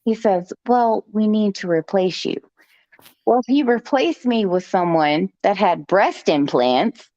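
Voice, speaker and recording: A woman's voice: mid-range voice, expressive, slow pace, mellow, no echo. A woman hosting a live stream, telling a personal story in a chatty, joking way, with frequent "okay" asides. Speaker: host. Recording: dull lo-fi stream audio.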